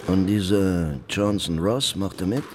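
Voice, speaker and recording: An actor playing a loyal, casual-talking robber in a German radio crime drama. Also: male voice, sits low, takes it slowly, background noise. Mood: guarded. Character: male robber, loyal to his jailed girlfriend. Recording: long reverb with music underneath.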